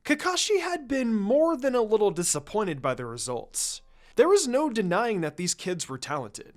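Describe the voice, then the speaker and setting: A man narrates fiction aloud in an expressive storytelling style. His voice sits a little high for a man and moves with animated intonation. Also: moderate pace. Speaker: story reader.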